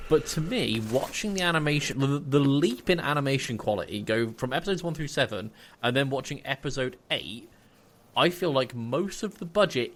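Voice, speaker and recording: A man's voice: medium pitch, fairly bright tone. A man giving his opinions casually as a podcast co-host.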